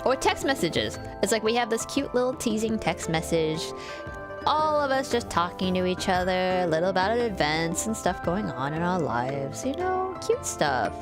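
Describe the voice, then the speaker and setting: A woman's voice: lowish voice, very animated, moderate pace. Lady streamer just rambling n teasing, casual game commentary, music going behind her. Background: game music and character voices.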